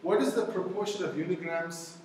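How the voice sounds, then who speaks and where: A man's voice: high voice, a little fast, a little mellow, distant mic, slight background noise. Tamil-speaking man lecturing in an echoey hall. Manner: conversational, many "you know" and "okay?" check-ins. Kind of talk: university lecture with audience discussion. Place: lecture hall with blackboard and projector. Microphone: far room camera.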